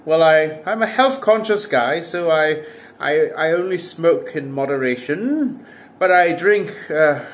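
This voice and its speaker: A man, his voice pitched slightly above the usual for a man. He chats casually to camera as a commentary vlogger. He slips in wry, self-deprecating jokes as he goes. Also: expressive, measured pace. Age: 30s. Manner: wry, self-mocking, jokey asides.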